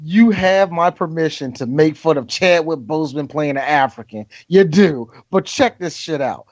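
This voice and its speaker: Animated, profane man on a lo-fi podcast. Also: a little high, moderate pace. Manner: animated, profane, laughs often, jumps into mock voices. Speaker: podcast co-host. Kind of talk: casual movie-review banter.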